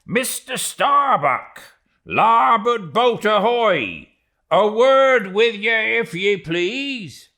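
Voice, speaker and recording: A man's voice: high-pitched, lively intonation, extremely slow, pushed and bright, voice pushed up, heavily acted. A man voicing a jolly, mock-furious whaling boat officer for an audiobook, swinging between roars and coaxing as he exhorts his crew. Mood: hailing loudly. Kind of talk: audiobook character acting.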